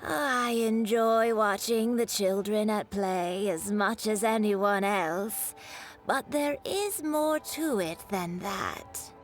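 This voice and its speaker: A woman voicing an older kite maker in a game, proud of her craft and speaking gently. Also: medium pitch, natural voice. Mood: gentle. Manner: gentle, slow, storytelling. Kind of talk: game voice acting. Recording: game cutscene with music.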